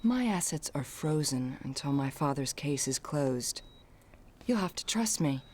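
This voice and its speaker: An actress playing a poised, vengeful young heiress in a video game, cool and dry in delivery. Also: female voice, low voice, animated, a little slow, a little mellow. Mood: wry, businesslike. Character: poised, sardonic, vengeful young heiress, murdered man's daughter. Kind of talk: video game cutscene acting.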